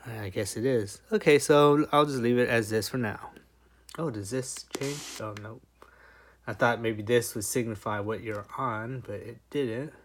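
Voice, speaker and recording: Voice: medium pitch, measured pace. A product reviewer giving casual unboxing commentary, informal and thinking aloud. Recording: clearly noisy home recording with clicks.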